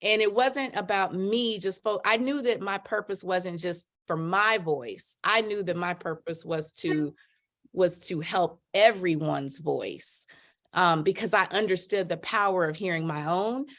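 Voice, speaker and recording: Animated storytelling woman, lowish voice. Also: a little slow. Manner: animated, voices quotes with big pitch leaps. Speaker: podcast guest. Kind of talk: storytelling in casual chat.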